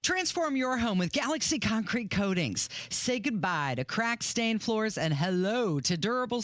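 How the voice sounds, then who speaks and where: A man's voice: sits high, a bit bright, no echo, studio quality. A man working as a radio host, in talk and live-read sponsor spots.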